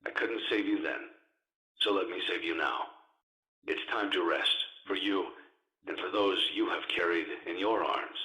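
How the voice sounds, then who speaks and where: A deep-voiced, grieving older father delivers a solemn game monologue. His measured, ominous words come out crisp and forceful, with strong echo around them. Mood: grieving, tender. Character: grave, sorrowful older father, condemning monsters, grieving his daughter.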